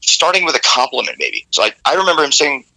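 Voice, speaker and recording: A man, a rock vocalist, telling studio stories in a candid, animated way in an interview, with casual swearing. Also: mid-range voice, fast talker, vocal fry, low-quality recording.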